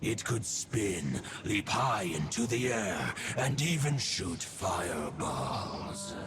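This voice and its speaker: Slow, deep, haughty wolf god. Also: male voice, full character voice. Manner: solemn, haughty, archaic phrasing. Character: ancient proud male wolf god, aloof and scornful of humans. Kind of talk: dramatic game voice acting. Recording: loud music under the voice.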